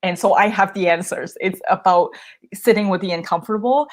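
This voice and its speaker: A woman's voice: medium pitch, brisk, a little hiss or hum. A young woman talking candidly about her fears as she gets coached, laughing often and filling her speech with "um" and "like.". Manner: chatty, laughs often, many fillers and restarts. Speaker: podcast host receiving coaching. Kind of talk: candid conversational chat.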